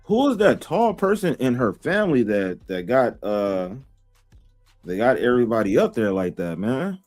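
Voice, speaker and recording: Lively slangy male commentator. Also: medium pitch, normal speed, soft background music. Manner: slangy, addresses viewers directly. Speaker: show commentator. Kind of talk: commentary to camera.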